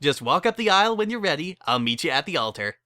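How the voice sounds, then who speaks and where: A man voicing a tipsy, breezy middle-aged pony mare who officiates weddings with brisk, casual patter in a dramatic story reading. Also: on the high side, sing-song, sharp tone, dry recording, pitched above the performer's own voice. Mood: breezy, businesslike.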